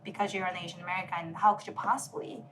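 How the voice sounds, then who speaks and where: A woman's voice: fairly low pitch, steady tone, fast, very noisy. A woman, born in Korea, talking as a podcast guest in an earnest, explanatory way, often checking in with "right?". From South Korea. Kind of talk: casual conversation.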